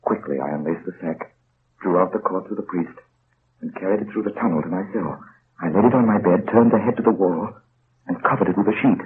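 An actor playing a grave prisoner who recounts his escape in intense, deliberate first-person radio narration. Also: low-pitched, natural voice. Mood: grave, tense. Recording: muffled old radio recording, faint hiss.

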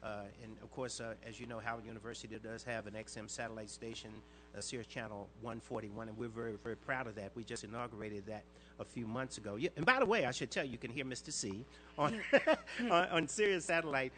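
A man's voice: bright, forceful tone, slight background noise. Older man, veteran radio voice. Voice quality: fairly high, light voice. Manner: inflects words, talks in quick bursts with restarts. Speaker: radio disc jockey guest. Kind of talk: interview answers, reminiscing.

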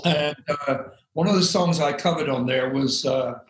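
An older English man with a loud speaking voice, telling rambling stories as an interview guest, with many fillers and frequent laughs. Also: fairly high pitch, normal speed, roomy sound, low-quality recording. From England. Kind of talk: relaxed storytelling interview.

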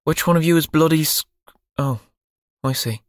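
A man's voice: a little high, moderate pace, no echo, clean studio sound, voice pushed up, lightly acted. A man doing a stern young pirate captain. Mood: commanding. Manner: clipped, commanding.

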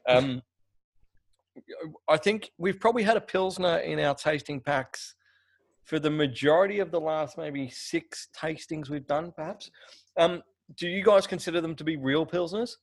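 A man's voice: a little high, moderate pace. A man hosting a podcast, asking loose questions with plenty of fillers. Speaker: podcast host. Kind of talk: casual interview.

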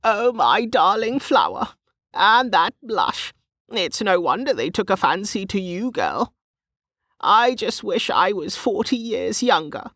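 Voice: medium pitch, sing-song, takes it slowly, pushed and bright, husky, no room sound, clean studio sound, voice pushed up, full character voice. A narrator voicing a teasing, bawdy old woman who runs a market stall, with a knowing manner, in an audiobook. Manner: teasing, knowing, calls people girl and dear. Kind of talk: audiobook character dialogue.

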